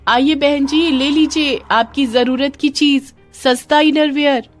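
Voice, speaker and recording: A woman's voice: high voice, fairly even intonation, slow pace, voice pushed up. A woman voicing a poor, hardworking young mother who sells on the street, speaking earnestly and emotionally in an audio storybook, with music behind. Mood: calling out. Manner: earnest, pleading, polite, emotional. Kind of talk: acted dialogue in audio storybook.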